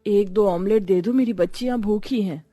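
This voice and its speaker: A woman playing a poor widowed mother in a cartoon story, plaintive, gentle and emotional. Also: medium pitch, moderate pace, no room sound, lightly acted. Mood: pleading.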